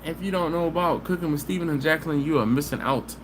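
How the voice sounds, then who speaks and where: Chatty man on a noisy live stream. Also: on the high side. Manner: easygoing and chatty, with drawn-out "mm-mm" hums. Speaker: barbecue channel host. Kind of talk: casual live stream chat, reading viewer comments. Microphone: phone camera. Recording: live stream audio with background hiss.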